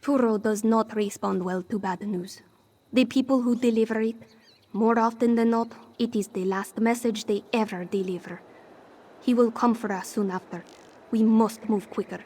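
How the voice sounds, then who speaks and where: A woman's voice: fairly high pitch, steady tone, moderate pace, mellow, husky. A woman playing a wry, proud cat-folk smuggler with a theatrical, sardonic manner in scripted fantasy game dialogue. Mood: grim, defiant. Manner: theatrical, sardonic, speaks of herself in third person.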